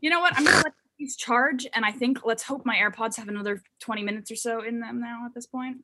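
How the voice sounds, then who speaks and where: A man's voice: very high-pitched, fast, pushed and bright, a little hiss or hum. A young man whose first language is German, a guest comedian chatting casually and rambling playfully on a video call through earbuds. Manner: rambling, self-interrupting, playful. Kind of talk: casual podcast chat.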